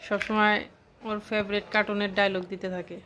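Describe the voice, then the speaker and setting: Bright-voiced woman coaxing her child. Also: medium pitch, little pitch movement, normal speed. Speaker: parent filming her child. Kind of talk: casual home video chat.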